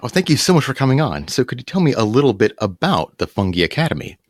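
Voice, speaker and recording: A man's voice: mid-range voice, sing-song, fast, dry recording, studio quality. A man hosting a podcast, asking the guest brief, plain questions. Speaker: podcast host. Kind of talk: casual interview questions.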